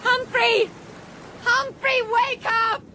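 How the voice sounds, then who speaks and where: An actress playing a brave, tender, well-bred castaway in a radio drama, genteel and earnest. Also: female voice, very high-pitched, little pitch movement, drawn-out words, heavily acted. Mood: distressed. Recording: sea and boat noise behind.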